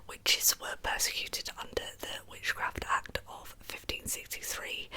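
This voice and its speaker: A British woman whispers close to silence, her voice all breath and no tone. The sound is very bright and airy, with crisp sibilant edges. She hosts a true crime ASMR series and talks informally, as if chatting through her story. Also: poor audio.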